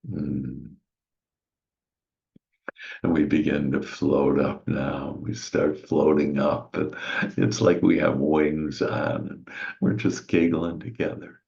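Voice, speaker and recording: A man leading a guided meditation in a gentle, soothing, hushed manner with long pauses and soft sighs, on a slightly muffled recording. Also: rumbling low, flat delivery, creaky. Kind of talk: soothing guided meditation, slow spiritual visualization.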